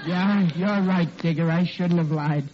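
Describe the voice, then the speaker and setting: An actor playing a bumbling, blustering American husband who gets flustered and stammers, in 1940s radio sitcom style. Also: male voice, sits high, steady tone, measured pace, a faint crowd. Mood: sheepish. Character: bumbling, blustering, sheepish working-class husband. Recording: dull, hissy vintage radio recording.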